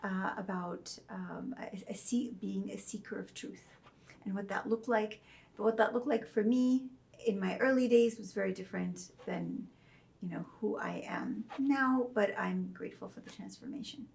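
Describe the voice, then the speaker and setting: A middle-aged woman from Saudi Arabia, a religion professor speaking as an interview guest, telling her story in a measured, thoughtful way with soft fillers. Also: mid-range voice, vocal fry.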